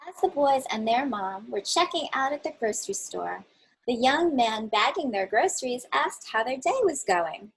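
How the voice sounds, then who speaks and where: A woman reading a children's picture book aloud and then talking gently to young listeners with casual fillers. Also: fairly high pitch, lively intonation, moderate pace, husky, rough recording. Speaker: children's story livestream reader.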